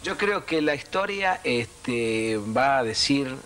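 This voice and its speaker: A man with a Rioplatense accent hosting a TV interview, guiding the guest with measured remarks. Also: medium pitch, lively intonation, fairly bright tone, soft background music. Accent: Rioplatense Spanish. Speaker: program host. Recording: distant mic with noticeable noise on old video.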